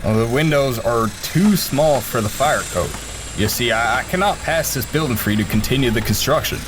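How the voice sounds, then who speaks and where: An actor playing a firm, by-the-book building inspector, with engine noise behind. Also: male voice, medium pitch, normal speed, lightly acted. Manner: firm, unbending.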